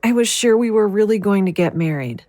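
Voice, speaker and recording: A woman playing a fragile, grieving psychic in an audio drama, speaking in a hesitant, halting way. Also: mid-range voice, animated, mellow, no echo, lightly acted. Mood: hesitant, sad.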